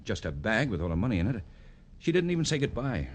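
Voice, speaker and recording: A wealthy Broadway big shot in an old radio crime drama. His voice is very deep and swings widely between boastful menace and pleading, with faint noise on the vintage broadcast. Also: sing-song, fast. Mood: defensive, subdued. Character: pompous, wealthy Broadway underworld big shot, easily cowed. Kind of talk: 1950s radio crime acting.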